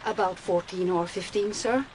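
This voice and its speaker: A woman's voice: mid-range voice, normal speed, a little mellow, a little hiss or hum, lightly acted. An actress playing a thoughtful, assertive female police constable in a radio crime drama, earnestly searching for her words. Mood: hesitant, thoughtful. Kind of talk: naturalistic radio drama acting.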